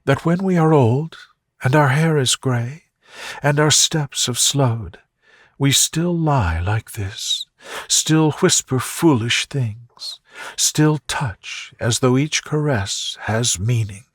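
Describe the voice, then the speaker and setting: Male reader as a young gentlewoman in love: gentle, playful, raised pitch, breathy, for a bedtime storybook. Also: lively intonation, slow pace, dry recording, studio quality. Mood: loving. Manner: soft, murmuring, gentle. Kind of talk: romantic bedtime storybook reading.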